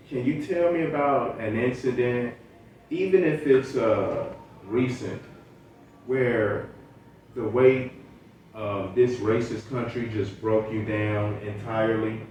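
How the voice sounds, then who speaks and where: A man's voice: medium pitch, a little mellow. A man hosting a podcast, asking questions in a casual, conversational way. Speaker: podcast host, interviewer. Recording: very echoey room, distant mic, faint noise.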